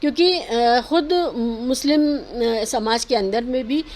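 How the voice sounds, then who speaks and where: A woman's voice: high-pitched, a little slow, darkish tone. A woman giving earnest, emphatic opinions in an interview, arguing her points and mixing in English words. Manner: emphatic, argumentative, mixes in English words and phrases. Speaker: interviewee giving social and political commentary.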